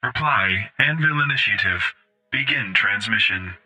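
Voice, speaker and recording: An actor voicing an impersonal military communications system, reading message headers in a clipped way through a radio effect. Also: male voice, on the low side, lively intonation, measured pace, heavy background noise. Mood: impersonal, official. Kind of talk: formal message header readout.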